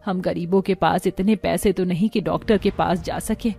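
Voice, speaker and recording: A woman acts out a poor, kindly old mother-in-law in a cartoon. She sounds weary and caring, her tone mellow, with music behind. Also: on the low side, fairly even intonation, moderate pace, gravelly, breathy edge. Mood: caring, resigned. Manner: resigned, caring. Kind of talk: cartoon moral-story dialogue.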